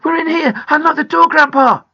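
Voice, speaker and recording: A woman voicing an excitable, easily alarmed young boy in a children's storybook, urgent and exclamatory. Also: sits high, fairly quick, muffled recording, pitched above the performer's own voice. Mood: alarmed shouting.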